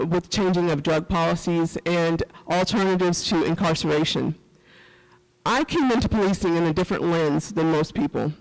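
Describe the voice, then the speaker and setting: The sound is a large hall with long reverb. A middle-aged woman from rural Missouri, with that region's accent, speaks to a summit in a personal, earnest way. Her delivery halts at times and leans on repeated phrases. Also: fairly low pitch. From rural Missouri, USA. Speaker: panel speaker, former police officer and professor. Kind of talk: personal public talk at a summit. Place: event hall at a community center.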